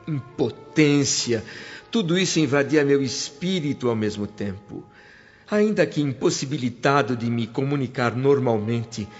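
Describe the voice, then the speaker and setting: An actor with a Brazilian accent playing an anguished spirit of a dead father, narrating emotively in a radio drama. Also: medium pitch. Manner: sorrowful, emotive. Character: anguished dead father's spirit recounting his return home. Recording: muffled audio with music underneath.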